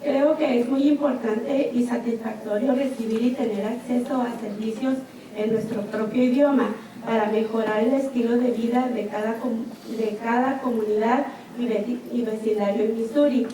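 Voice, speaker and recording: A woman's voice: on the high side, fairly even intonation, normal speed, dark tone. Woman testifying in an echoey hall. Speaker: chaplain leader giving public comment. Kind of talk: formal prepared public testimony. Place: public meeting hall. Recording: very echoey hall, distant mic, noise.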